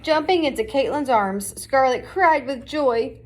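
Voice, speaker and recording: A woman with a fairly high voice narrates a children's storybook. She is reading aloud from a scripted tale meant for young listeners. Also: lively intonation, a little slow, a little hiss or hum. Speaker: storybook reader.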